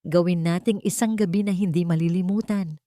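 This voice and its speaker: A woman's voice: lowish voice, steady tone, fairly quick, warm tone, no echo, lightly acted. Woman voicing a cold, commanding rich businessman, dry sound. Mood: cold calm.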